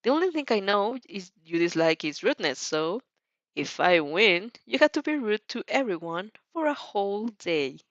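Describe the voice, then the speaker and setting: A woman plays a cheeky young hero rival in an audio story. The speech is playful and challenging, with lively pitch movement, on a dry, slightly dull recording. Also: normal speed, no room sound. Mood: teasing.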